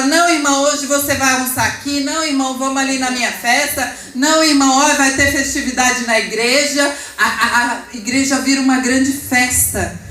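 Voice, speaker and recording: A woman's voice: high voice, sharp tone, roomy sound, low-quality recording. Passionate Brazilian woman preaching in church. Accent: Brazilian Portuguese. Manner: passionate, rising and falling preaching with asides to the congregation. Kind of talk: sermon. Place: church hall.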